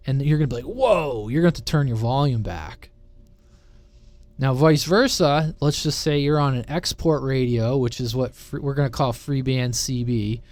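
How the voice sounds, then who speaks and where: A man's voice: medium pitch, a little mellow. A man giving a casual, unscripted technical explanation in an informal, conversational way, often checking that listeners follow. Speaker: presenter of a CB radio explainer channel.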